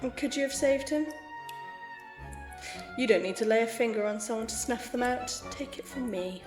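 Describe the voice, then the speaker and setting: A woman playing an immortal warmongering villainess in an audio drama, camp, theatrical and condescending, with a teasing, mocking manner. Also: a little high, moderate pace, natural voice. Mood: teasing, menacing. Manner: theatrical, arch, condescending, uses pet names like dearies. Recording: roomy echo, faint music behind.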